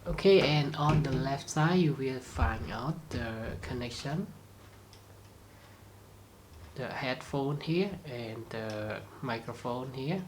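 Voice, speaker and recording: A tutorial presenter speaks slowly at a medium pitch, in short, halting phrases with non-native grammar. The delivery is a step-by-step product demonstration, with some steady noise behind the voice.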